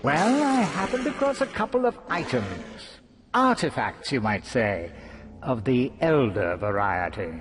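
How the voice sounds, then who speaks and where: An actor playing a gleeful, mocking trickster villain in a video game, taunting with drawn-out theatrical sarcasm. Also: male voice, mid-range voice, very animated, background noise. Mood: smug.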